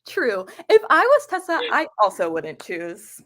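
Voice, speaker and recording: Female co-host, somewhat high voice, informal and tentative as she reasons through a book discussion. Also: wildly swooping pitch, measured pace, fairly bright tone. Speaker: co-host of a book readalong live show. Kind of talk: casual book chat.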